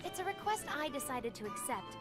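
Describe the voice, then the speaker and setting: A woman's voice: high voice, sing-song, a little fast. A woman voicing a composed, helpful young woman in an anime game, speaking politely. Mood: composed, concerned. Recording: game music behind the voice.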